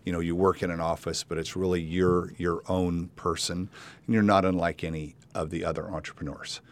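A man's voice: deep voice, little pitch movement, brisk, a little hiss or hum. A man hosting a conversational interview show, reflective and probing as he thinks aloud. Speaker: podcast host.

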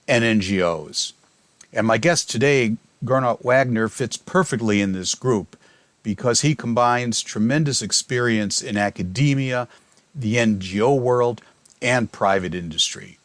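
A middle-aged man hosting an academic podcast interview, reading a measured introduction and then asking conversational questions. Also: medium pitch, a bit bright, no echo. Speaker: podcast host, professor.

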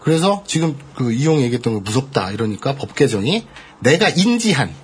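A middle-aged man in casual talk, explaining debt matters and telling stories with rough slang and frequent laughs. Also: mid-range voice, brisk. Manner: animated storytelling with bursts of laughter and rough slang. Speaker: guest explaining debt collection. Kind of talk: casual podcast chat.

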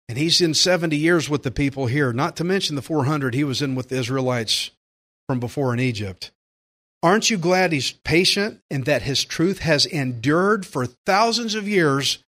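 A man preaching a sermon, earnest and emphatic, slowing down to stress key phrases. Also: on the high side, fairly bright tone. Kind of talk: sermon with scripture readings.

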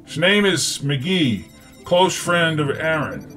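A man's voice: a little high, animated, takes it slowly, pushed and bright, faint music behind, heavily acted. A man voicing a commanding, suspicious rebel leader in an audiobook, with faint hum behind him. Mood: formal, commanding.

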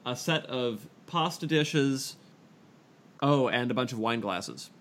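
A man's voice: mid-range voice, lively intonation, takes it slowly, fairly bright tone, a little hiss or hum. A man co-hosting a podcast, chatting casually with friends in a wordy, self-deprecating way. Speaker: podcast co-host. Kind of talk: casual chat among friends.

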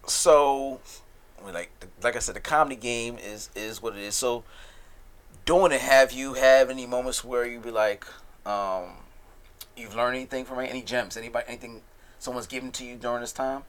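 A man's voice: mid-range voice, steady tone, fairly quick. A man hosting a podcast, interviewing a comedian in a laid-back, casual way. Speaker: podcast host.

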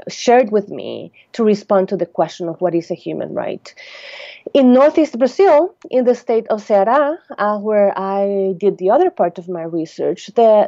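A woman from Costa Rica speaks in a mellow, dark-toned voice, weighing her words and restarting often. The sound is dry and a little dull, as if from a muffled studio line. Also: mid-range voice, no room sound. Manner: thoughtful, measured, many fillers and restarts. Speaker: anthropologist guest. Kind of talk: radio interview answer.

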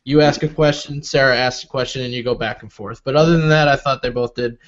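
A man in his thirties answering interview questions in a relaxed, rambling, measured way. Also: mid-range voice, lo-fi sound. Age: 30s. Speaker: interview guest. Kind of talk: casual interview talk.

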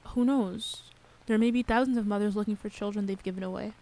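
A woman's voice: medium pitch, steady tone, fast talker, darkish tone, close to an everyday voice. An actress playing a grave, intent female interviewer in a radio play, speaking in a restrained and serious way. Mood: gentle, curious.

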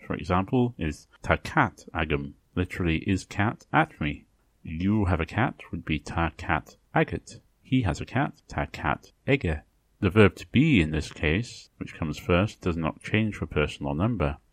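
A man hosting a podcast, explaining in a lecture style with measured, segmented phrasing. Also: sits low, dry recording. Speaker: podcast host. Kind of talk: explanatory lecture narration on language.

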